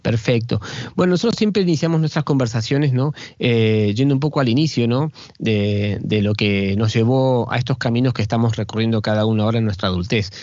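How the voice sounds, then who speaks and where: A man's voice: medium pitch, fairly quick, darkish tone, dry recording, lo-fi sound. A man hosting a podcast interview, talking hesitantly, often repeating words as he frames his questions. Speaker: podcast host.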